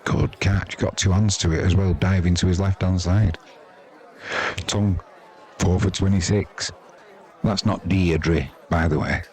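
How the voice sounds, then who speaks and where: A man's voice: low-pitched, fairly even intonation, measured pace, pauses often, a little mellow. A man with an English accent giving chatty, wry, self-deprecating amateur live commentary on cricket. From England.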